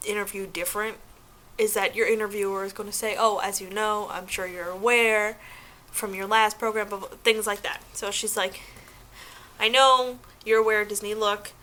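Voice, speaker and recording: A young adult woman chatting casually to her viewers in an informal, animated way with slangy asides, as a vlogger sharing advice. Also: medium pitch, normal speed, rough recording. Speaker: vlogger giving application advice.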